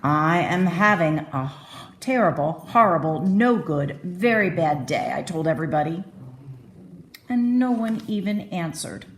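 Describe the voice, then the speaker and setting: A woman's voice: fairly low pitch, lively intonation, a little slow, slight background noise. A woman reading a children's bedtime story aloud expressively, stretching key phrases with dramatic emphasis.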